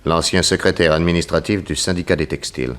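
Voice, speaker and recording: An actor playing a shrewd, suave private detective with an ironic, insistent way of questioning in a 1960s French radio drama. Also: male voice, low-pitched, steady tone, quick pace, close to an everyday voice. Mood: polite, probing.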